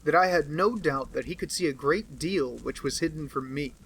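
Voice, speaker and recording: Guy reading an old novel out loud, kinda high voice, steady storytelling. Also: moderate pace, slight background noise, low-quality recording. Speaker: audiobook reader. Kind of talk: reading a classic novel aloud.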